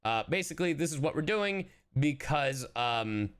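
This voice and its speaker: Animated, irreverent male streamer. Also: on the high side, moderate pace, clear, resonant tone. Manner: irreverent, joking, heavy swearing. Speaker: streamer, reaction host. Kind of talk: casual livestream reaction commentary.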